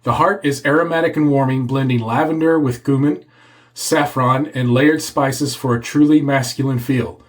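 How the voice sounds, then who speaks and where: A man hosting a casual shaving video, congested and a bit hoarse from a cold, chatting as he lathers. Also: mid-range voice, fairly even intonation, moderate pace. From Texas. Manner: chatty, reads product blurb aloud. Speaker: shaving channel host. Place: home office.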